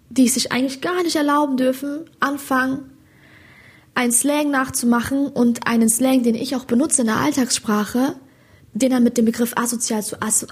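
A young woman from Hesse with a Hessian accent, a stand-up comedian chatting in an interview, animated and slangy, often asking "you know?". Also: high voice, husky. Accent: Hessian German. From Hesse, Germany. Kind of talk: casual interview chat.

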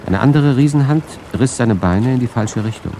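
An actor playing the narrator of a tense adventure tale in a 1980s radio drama, telling it dramatically. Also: male voice, medium pitch, moderate pace, close to an everyday voice. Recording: noisy radio drama with water ambience.

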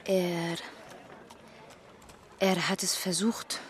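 A woman's voice: lowish voice, level tone, very slow, a little hiss or hum. A woman playing a naive, intimidated teenage butcher's daughter in a radio drama, answering hesitantly and evasively. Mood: shy, hesitant.

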